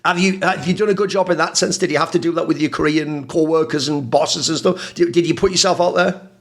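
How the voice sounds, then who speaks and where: A man hosting a casual interview, talking quickly and animatedly, swearing casually and calling his guest "bro". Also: a little high, fairly even intonation. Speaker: interview host.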